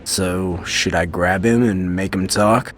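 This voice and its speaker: A man's voice: low voice, steady tone, slow pace, faint music behind. A man playing a cocky young warrior hero in a video game, talking in a blunt, casual, dryly defiant way. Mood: questioning. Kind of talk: video game cutscene acting.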